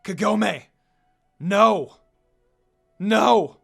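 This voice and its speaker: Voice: mid-range voice, drawn-out words, gravelly, fairly bright tone, husky, faint music behind, voice pushed up, full character voice. A reader voicing a stern, protective demon lord and older brother in an amateur story reading. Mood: anguished.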